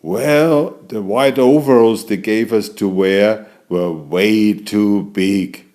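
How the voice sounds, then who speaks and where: A man narrating a scripted bedtime story for small children in an expressive, exclamatory way. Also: lowish voice, slow pace. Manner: expressive, exclamatory, addressing young listeners.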